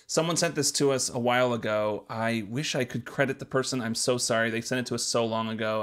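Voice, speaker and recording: Guy hosting a podcast, medium pitch, just chatting casually with his co-host.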